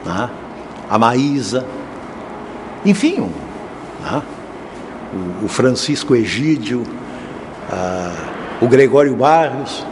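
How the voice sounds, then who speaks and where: An older man, a former radio presenter, reminiscing in an interview and often stumbling over repeated words. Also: mid-range voice. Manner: hesitant, repeats words, tags with "né?". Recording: noisy background.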